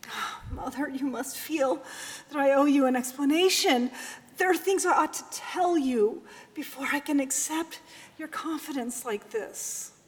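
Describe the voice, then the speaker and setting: A woman's voice: sits high, a little slow, voice pushed up. A woman voicing an ashamed grown son turned thief, pleading and close to tears, recorded with a long echo. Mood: earnest. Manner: anguished, pleading, near tears.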